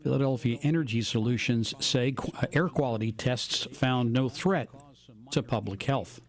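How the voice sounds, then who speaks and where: A man's voice: medium pitch, moderate pace. An American man reading a scripted radio news bulletin.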